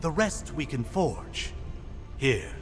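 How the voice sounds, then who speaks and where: Genial godsmith ally: leisurely, animated intonation, gently teasing, video game fantasy drama. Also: male voice, mid-range voice. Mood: wry, encouraging. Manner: expressive, unhurried, gently chiding. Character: warm, good-humoured fantasy godsmith, loyal old friend. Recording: background music.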